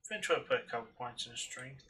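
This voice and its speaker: A man's voice: mid-range voice, expressive, racing, bright, forceful tone, slight background noise. A man giving casual, irreverent let's play commentary, talking to himself as he plays at a desk microphone. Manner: offhand, irreverent, talks to himself while playing. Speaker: game streamer.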